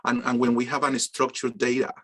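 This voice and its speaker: A man's voice: medium pitch, little pitch movement, fairly quick, fairly bright tone. A man teaching an online business course over a video call, often stumbling and repeating words as he responds to students. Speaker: course instructor. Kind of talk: online class introductions.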